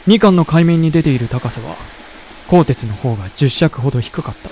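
A man acting a loyal deputy leader who narrates a historical war audio drama in a deliberate storytelling delivery. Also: on the high side, expressive, a little mellow, a little hiss or hum. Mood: tense narration. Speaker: narrator and character.

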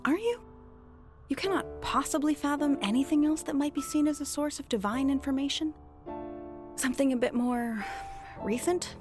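Shrewd period soothsayer, played by a woman: higher female voice, wry, insistent, formal drama delivery over music. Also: moderate pace, lightly acted. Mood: probing, sly. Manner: articulate, wry, needling. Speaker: voice actor in an audio drama. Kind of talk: period audio drama acting.

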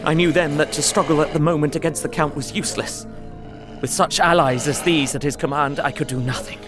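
A man's voice: a little high, steady tone, a little fast, close to an everyday voice. A young man playing a frightened Englishman who narrates his ordeal in an earnest, tense way for an audio drama. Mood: fearful. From England. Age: young adult. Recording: music score under the voice.

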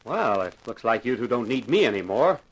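Excitable tv writer guy in old 1950s radio play, medium pitch, bouncy intonation, easygoing. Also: fairly quick, poor audio. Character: excitable, easygoing male television scriptwriter. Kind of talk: 1950s radio drama acting. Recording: vintage 1950s radio recording.

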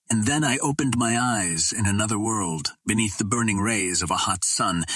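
Husky man narrating an adventure tale. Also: medium pitch, fairly bright tone, no echo. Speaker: audiobook narrator. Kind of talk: scripted narration of an old adventure novel.

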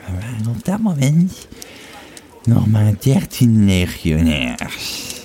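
Man playing a creaky low-voiced old legionary. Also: big pitch swings, dark tone, exaggerated voice. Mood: grim reminiscing. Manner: rambling, halting, dramatic. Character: old tipsy Roman legionary retelling his war days. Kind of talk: in-character storytelling in an audio play.

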